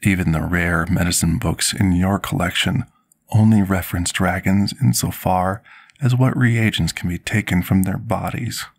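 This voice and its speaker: A man playing a devoted, apologetic potion master in a fantasy ASMR roleplay, speaking in a formal, measured, deferential way with a husky, gravelly voice. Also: deep voice, steady tone. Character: devoted, apologetic male potion master tending a dragon patient.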